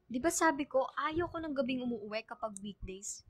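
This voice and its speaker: A woman's voice: sits high, lively intonation, a little fast, fairly bright tone, no echo. A woman playing a strict, scolding Filipino mother in a radio drama, stern and nagging. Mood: stern, suspicious. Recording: dry studio with faint music.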